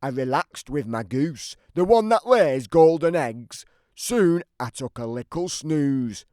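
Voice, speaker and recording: Grumpy hungry giant, acted by a man; theatrical children's storybook read, fairly high for a man, close dry sound. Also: animated, takes it slowly, darkish tone, no room sound. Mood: grumbling storytelling. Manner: theatrical, emphatic storybook delivery. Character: grumpy, aggrieved, always-hungry big giant telling his side. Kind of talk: scripted children's storybook narration.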